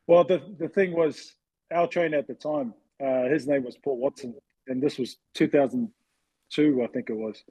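A man in his forties with a husky voice, casually telling personal stories as an interview guest. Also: mid-range voice, normal speed, mellow, no room sound, low-quality recording. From New Zealand, later Western Sydney, Australia. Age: 40s. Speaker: interview guest, former rugby league player.

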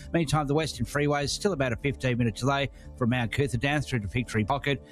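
A man giving a brisk radio traffic report, rattling through road updates. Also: mid-range voice.

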